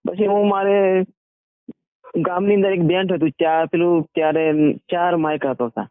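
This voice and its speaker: A man's voice: high-pitched, fairly even intonation, moderate pace, clear, resonant tone, no room sound. A man, a singer and band owner talking casually in a phone interview. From Gujarat, India. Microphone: phone call. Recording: tinny narrowband phone line.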